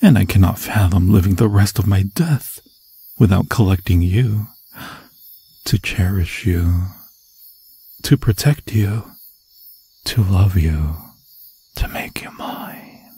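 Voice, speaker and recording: A man voicing an obsessed undead forest demon in an ASMR fantasy roleplay, seductive and menacing, with a husky, breathy voice that often drops to a whisper and slow, theatrical, intimate phrasing. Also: sits low, dark tone, full character voice. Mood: adoring, possessive. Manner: slow, theatrical, intimate, crooning endearments in archaic formal phrasing.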